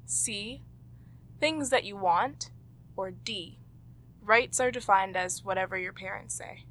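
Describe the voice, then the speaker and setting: A woman with a Canadian accent and a bright, forward voice at an average pitch. She speaks as a host, presenting to listeners and guiding a group. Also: takes it slowly, low-quality recording. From Toronto, Canada. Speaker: host and quizmaster. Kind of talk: presenting a quiz and leading a group discussion.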